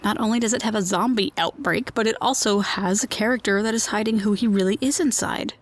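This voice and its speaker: A woman's voice: medium pitch, fairly quick, close to an everyday voice. A woman playing a chatty, quirky radio host of a surreal underground city, informal and playful, in a scripted fiction podcast. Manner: informal, playful, given to tangents.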